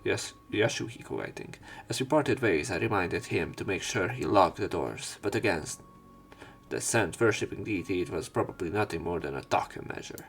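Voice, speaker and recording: Gravelly, lowish-voiced man reading aloud. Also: animated, faint music behind. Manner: casual, halting read-aloud with asides. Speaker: let's player. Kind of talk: reading visual novel narration and dialogue aloud.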